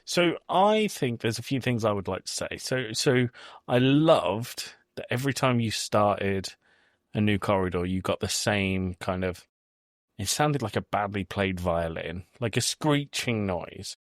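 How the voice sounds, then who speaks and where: A man with a fairly low voice talks as a podcast host in a close, dry recording with no room sound. His delivery is casual and blunt, like an informal film review, and he swears freely as he goes. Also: lively intonation, a little slow, dry recording.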